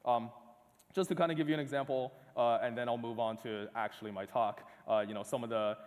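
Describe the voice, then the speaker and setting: Young man, from northeast China, conference talk, lots of uh fillers, mid pitch, long hall reverb. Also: fairly even intonation. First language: Chinese. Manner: halting, many uh fillers and restarts. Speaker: conference speaker. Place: large auditorium.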